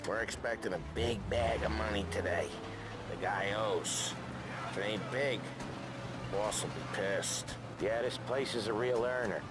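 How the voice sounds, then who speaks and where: An actor playing a seasoned, easygoing American mobster in a game, casually explaining how the business works. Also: male voice, lowish voice, unhurried, over loud music, natural voice, rough recording. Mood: casual, knowing. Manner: casual, street-wise, explanatory. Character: seasoned, easygoing mobster showing a newcomer the ropes. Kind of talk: conversational game voice acting. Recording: very loud vehicle and ambient noise.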